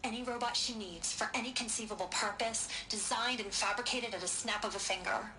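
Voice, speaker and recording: A woman's voice: fairly high pitch, a little fast, bright, forceful tone, poor audio. An actress playing a playful female scientist explaining her work in a recorded message in a video game. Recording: game audio with background noise.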